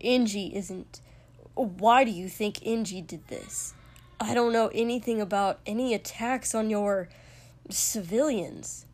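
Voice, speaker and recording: A woman's voice: medium pitch, measured pace, darkish tone, natural voice. A woman voicing a guarded, bewildered young man in an audiobook story, speaking in terse questions. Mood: bewildered, defensive.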